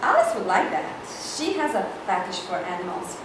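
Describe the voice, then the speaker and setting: A woman's voice: medium pitch, sing-song, normal speed, natural voice. A woman playing a haughty, ambitious, wealthy older sister in a live screenplay reading. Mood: disdainful. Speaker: actor. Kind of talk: cold-read screenplay scene reading. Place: large room at a live staged reading. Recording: echoey hall, distant mic, noisy.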